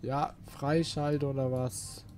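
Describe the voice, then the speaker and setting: A man's voice: mid-range voice, flat delivery, takes it slowly, darkish tone, a little hiss or hum. A man giving casual, grumbling let's play commentary as he plays a video game. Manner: grumbling, mild swearing at the game.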